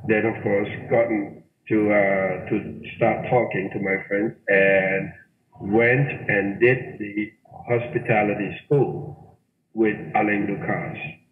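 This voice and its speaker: A middle-aged man from Thailand, speaking in a lowish voice over a tinny phone line with a roomy echo. He talks as a hotel manager in an interview. He is animated and repeats words for emphasis. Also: slow. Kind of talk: interview guest. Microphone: phone or call line.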